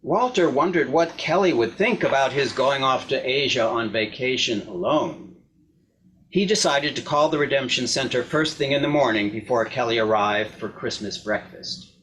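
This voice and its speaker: A man reads a short story aloud in a scripted narrator's delivery, his voice sitting at a medium pitch. Clear background noise runs under the recording. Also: moderate pace, fairly bright tone, low-quality recording. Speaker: reader in a readers theater.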